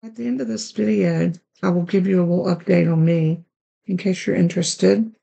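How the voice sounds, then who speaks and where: A woman with a raspy, hoarse voice hosting a card-making tutorial, chatting along as she works through each step. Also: on the low side, fairly even intonation, moderate pace, dark tone, muffled recording. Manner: chatty, talks while working with her hands. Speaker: card-making channel host.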